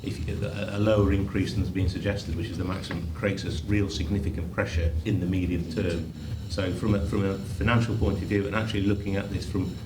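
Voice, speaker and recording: A finance adviser lays out budget options to a committee in an analytical, plain-spoken way. His voice is deep and flat, and he talks fast. The recording is roomy, with loud background noise. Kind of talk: formal committee meeting speech. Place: council meeting room.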